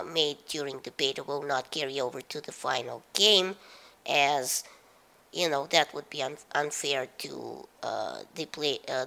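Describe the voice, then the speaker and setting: A gaming YouTuber woman with a very low voice and a sharp, forceful edge to it. She sounds stuffed up from a cold. She talks through the news in a halting way, full of fillers. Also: vocal fry, poor audio. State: nasal from a head cold.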